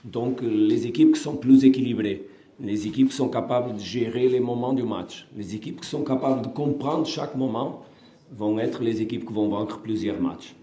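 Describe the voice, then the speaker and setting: A man from Portugal, a football coach, speaking non-native French with a Portuguese accent at a post-match press conference, measured and halting with many fillers and restarts. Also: mid-range voice, mellow, roomy sound.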